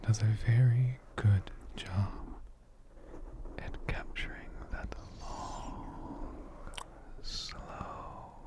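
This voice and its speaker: A man doing soft-spoken, whispered ASMR in a gentle, deliberate manner, close to a condenser mic. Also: medium pitch. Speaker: ASMR creator answering viewer questions. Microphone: USB condenser mic, close up.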